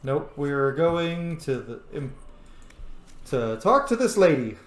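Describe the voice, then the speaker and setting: A man's voice: on the high side, sing-song, takes it slowly. A man giving casual, profane, joking commentary while playing a video game. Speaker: gameplay commentator. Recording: roomy room with faint noise.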